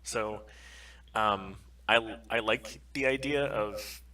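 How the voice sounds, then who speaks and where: Guy podcast co-host w/ a real sharp bright voice, kinda off the mic, chatting casually. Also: fairly low pitch, slow, distant mic, poor audio. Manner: emphatic, frequent swearing. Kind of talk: casual livestream chat.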